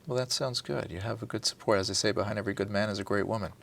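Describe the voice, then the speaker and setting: A man's voice: fairly low pitch, steady tone, very fast, creaky, fairly bright tone, slight background noise. A man from New Jersey hosting a TV health interview as a doctor, talking in a chatty, friendly way with frequent restarts. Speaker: doctor and talk show host.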